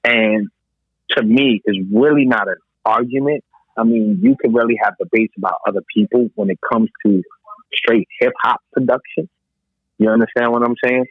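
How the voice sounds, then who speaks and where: A man's voice: medium pitch, moderate pace, no room sound. A man in casual, opinionated music discussion on a podcast, speaking emphatically and repeating phrases to drive points home. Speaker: podcast co-host. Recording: thin phone-like line.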